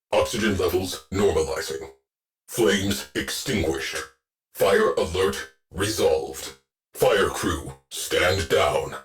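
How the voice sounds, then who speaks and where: A man voicing a stern, deadpan artificial intelligence that runs a warship in a video game, giving clipped military orders. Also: low-pitched, heavily acted, rough recording. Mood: alert, terse. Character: terse, grim military ship artificial intelligence, male, commanding. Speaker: shipboard tactical officer. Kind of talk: video game mission voice lines.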